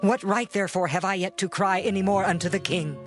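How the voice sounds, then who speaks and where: A man's voice: high voice, steady tone, brisk, soft background music, close to an everyday voice. An actor playing a meek, loyal servant in a dramatized scripture, earnest and deferential before his king. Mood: pleading.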